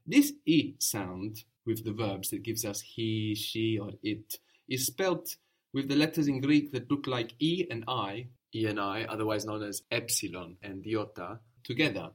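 Patient male language teacher. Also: fairly low pitch. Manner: patient, prompting questions and quick praise. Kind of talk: guided language lesson.

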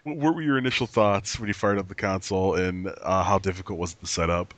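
A man hosting a casual chat, relaxed, laughing often and asking questions. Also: low-pitched, fast, dry recording. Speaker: host.

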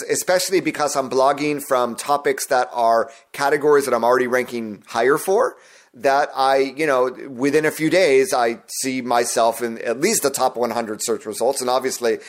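Hoarse man hosting a solo podcast. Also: mid-range voice. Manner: earnest, instructive, talks straight to listeners. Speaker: podcast host.

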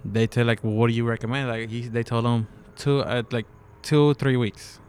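A man co-hosting a casual podcast, talking in halting stretches full of fillers and restarts. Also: mid-range voice, little pitch movement, darkish tone, smooth. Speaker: co-host. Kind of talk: casual chat.

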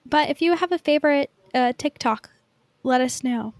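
A woman's voice: sits high, moderate pace, no room sound. A woman co-hosting a podcast in casual chat, with an informal, self-deprecating manner. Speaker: podcast co-host.

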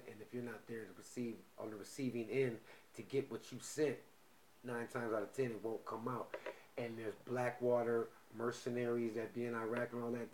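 A man hosting a podcast in loose, profane casual chat, getting loud and animated when excited. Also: mid-range voice, slight background noise. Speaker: podcast host.

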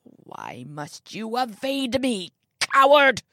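A woman voicing a sinister, irascible old male villain in an audiobook, with a slow, grumbling, contemptuous delivery. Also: lowish voice, very animated, gravelly, darkish tone, heavily acted. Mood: enraged.

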